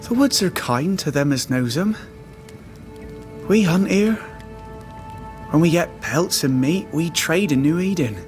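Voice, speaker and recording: An actor playing a haunted, starving trapper, simple, devout and loyal, speaking in plain rustic phrases in a game drama. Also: male voice, on the high side, expressive, faint music behind. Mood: weary, earnest. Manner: rustic dialect phrasing, plain halting sentences. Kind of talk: dramatic video game cutscene acting.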